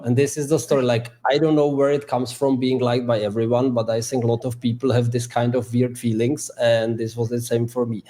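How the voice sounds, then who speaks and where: A man's voice: mid-range voice, warm tone. A man hosting a live online interview as a career consultant, speaking in slightly phrasing over a video call. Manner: halting, slightly non-native phrasing. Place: home or office in Switzerland.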